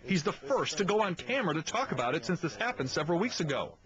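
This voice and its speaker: Man, American accent, TV reporter narration, lively intonation, raised pitch, slightly dull recording. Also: quick pace. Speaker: TV news reporter. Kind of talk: scripted news package narration.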